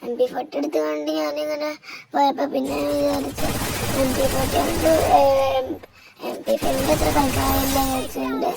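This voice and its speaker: A gamer with a northern Kerala Malabar accent gives casual, halting commentary, recounting gameplay moves step by step. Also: sits high, level tone, gravelly, heavy background noise. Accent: northern Kerala Malabar Malayalam.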